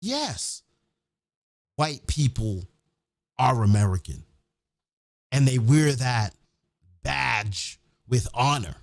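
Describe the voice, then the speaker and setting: Guy doing a casual opinionated rant on his show, swears a lot, blunt n emphatic, average pitch, real dry sound. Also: sing-song, very slow, gravelly, pauses often, no room sound. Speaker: host of his own show.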